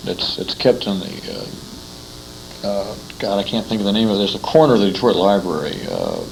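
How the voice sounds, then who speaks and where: A man in a casual interview conversation, speaking haltingly as he searches for words. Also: fairly low pitch, sing-song, creaky. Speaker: interviewer, researcher. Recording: faintly noisy amateur 1980s interview recording.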